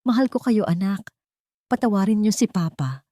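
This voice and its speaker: A woman's voice: medium pitch, expressive, moderate pace, dark tone, dry recording, studio quality, pitched above the performer's own voice, lightly acted. A woman voicing an anguished, remorseful father in an audiobook drama, pleading. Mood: tearful pleading. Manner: pleading, emotional.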